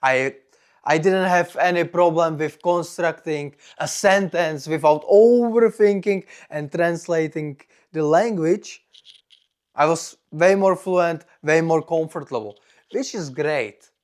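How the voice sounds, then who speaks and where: A young man from the Czech Republic, a native Czech speaker with a strong Czech accent, gives a personal vlog monologue in deliberate, simple non-native phrasing. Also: on the high side, clear, resonant tone. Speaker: vlogger.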